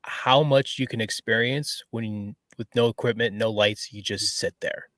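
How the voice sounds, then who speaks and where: A man co-hosting a podcast, explaining his thoughts in a measured, thoughtful way. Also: lowish voice, steady tone, crowd noise. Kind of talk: casual chat.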